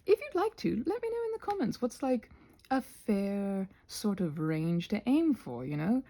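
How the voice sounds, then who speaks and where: A woman with a soft, slightly husky voice rambles casually to viewers in an ASMR craft video, halting and self-conscious, drifting into asides. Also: mid-range voice, big pitch swings. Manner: halting and self-conscious, trailing off mid-thought, many asides.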